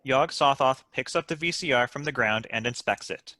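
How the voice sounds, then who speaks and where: A man's voice: medium pitch, moderate pace, pushed and bright, dry recording. A man reading stage directions aloud as scripted narration for a comedy script.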